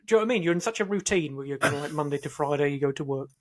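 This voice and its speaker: A man's voice: fairly high pitch, rapid-fire. A man co-hosting a podcast in casual chat, with a jokey, teasing manner. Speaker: podcast co-host.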